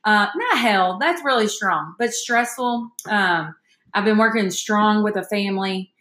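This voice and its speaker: A woman's voice: mid-range voice, unhurried, a bit bright, reverberant. A woman, hosting a podcast and telling stories chattily, calling her listeners y'all. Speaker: podcast host. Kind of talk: casual storytelling chat.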